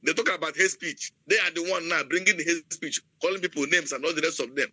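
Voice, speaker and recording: Indignant caller, bright voice. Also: male voice, fairly high pitch, fast talker, no echo, low-quality recording. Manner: indignant, rambling. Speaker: radio call-in listener. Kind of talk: call-in opinion.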